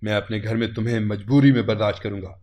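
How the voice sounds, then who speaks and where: A man voicing a stern, disappointed older uncle in an audio story, grave, reproachful and measured. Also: fairly low pitch, fairly even intonation, darkish tone, pitched below the performer's own voice, natural voice. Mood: bitter disappointment.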